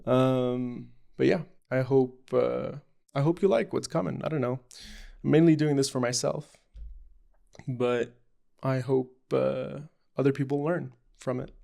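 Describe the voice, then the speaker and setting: A man's voice: mid-range voice, measured pace, creaky, mellow. A young man from Lebanon introducing himself and his show off the cuff, hesitant and rambling, with many ums and restarts. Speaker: podcast host. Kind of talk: unscripted personal introduction.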